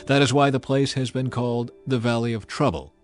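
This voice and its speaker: A man narrates an audio Bible in a scripted, dramatized style. His voice sits at a middle pitch, with music playing behind him. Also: steady tone, brisk. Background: background music.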